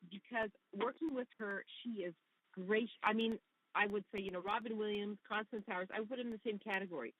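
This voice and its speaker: A woman's voice: medium pitch, fairly quick, narrow, tinny audio, slight background noise. A woman from Canada whose first language is Hungarian, talking effusively as a guest actress in a casual interview.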